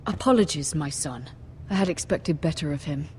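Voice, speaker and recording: A woman playing a composed, low-voiced noble mother. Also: moderate pace, a little hiss or hum, close to an everyday voice. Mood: authoritative. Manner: composed, authoritative, calm. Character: dignified noble mother, lady of the house.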